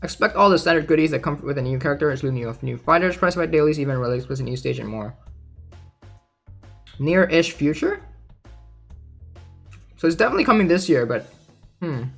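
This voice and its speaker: A man's voice: a little high, very animated, fairly quick, creaky, pauses often, a bit bright. A man giving casual commentary as he reads out game news, informal and thinking aloud. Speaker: gaming content creator. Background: background music.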